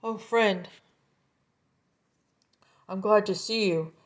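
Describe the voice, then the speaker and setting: A woman's voice: medium pitch, extremely slow. A woman voicing an eager, forgetful male coyote in a children's story, pleading and grumbling by turns.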